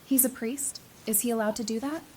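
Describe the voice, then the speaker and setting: An actress playing a warm, teasing, geeky woman in a post-apocalyptic audio drama, speaking casually and playfully. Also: steady tone, fairly quick, lightly acted. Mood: curious. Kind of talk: fan audio drama acting. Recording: noisy background with low rumble.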